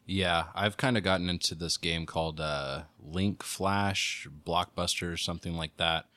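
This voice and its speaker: Very deep, bright, dry-miked man chatting. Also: fairly even intonation, unhurried, no room sound. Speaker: podcast co-host. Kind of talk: casual chat.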